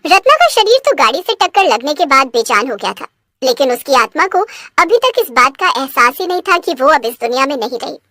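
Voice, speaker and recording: A woman's voice: sits high, big pitch swings, a little fast, bright, forceful tone, no echo, clean studio sound. A woman reading scripted narration for a cartoon story.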